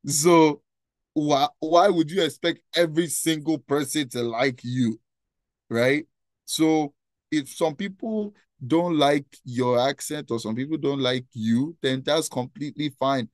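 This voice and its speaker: A man's voice: on the high side, unhurried, no echo. Earnest Nigerian man, dry close sound. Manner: earnest, repeats "right?" often. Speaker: guest, nonprofit founder. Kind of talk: conversational interview answer.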